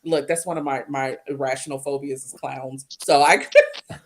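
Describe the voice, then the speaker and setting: A woman's voice: very deep voice, steady tone, normal speed. A woman guest who is a singer-songwriter, talking in a chatty way, laughing easily and dropping in a Southern "y'all". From Ohio, US. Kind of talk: casual chat on a call-in show.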